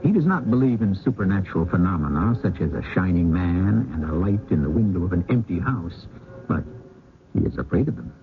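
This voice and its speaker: A man hosting a radio mystery drama with measured, scripted narration. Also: low voice, expressive, vocal fry. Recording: muffled phone-like radio recording, faint noise.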